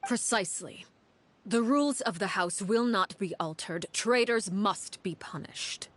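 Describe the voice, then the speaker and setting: A woman playing a stern, composed head of an orphanage house in a video game, speaking formally and with measured authority. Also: mid-range voice, close to an everyday voice. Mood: cold resolve. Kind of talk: dramatic video game acting.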